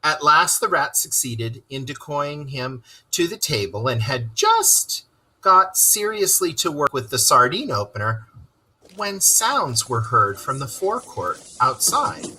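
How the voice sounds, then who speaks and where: A storyteller giving an expressive, dramatic reading of a children's story. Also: mid-range voice, slight background noise, poor audio. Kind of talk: scripted narration, children's story read-aloud.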